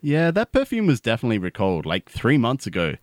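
Animated, melodramatic thirty-something man played by an actor. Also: mid-range voice, quick pace, dry recording. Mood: matter-of-fact. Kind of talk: audio sitcom dialogue.

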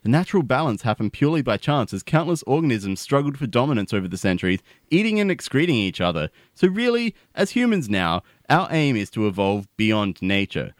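A man plays an ordinary guy in a radio comedy, naive and given to musing. He talks matter-of-factly in a mid-pitched voice with very lively intonation and a dry, close sound. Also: brisk, few pauses, no room sound, lightly acted. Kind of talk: scripted comedy radio play.

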